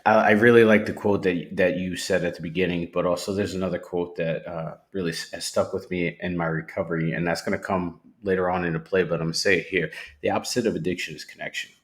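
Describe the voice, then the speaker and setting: A man sharing his recovery story as a podcast guest, sincere and reflective, with pauses and restarts. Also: low-pitched, fairly even intonation. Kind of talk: personal testimony. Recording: roomy echo.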